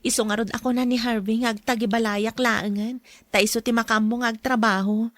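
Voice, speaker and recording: Woman acting a high-voiced devoted young wife. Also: steady tone, brisk, no room sound, lightly acted. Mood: reassuring. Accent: Ilocano Filipino. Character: devoted, contented young wife, calm and affectionate. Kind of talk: Ilocano audio drama acting.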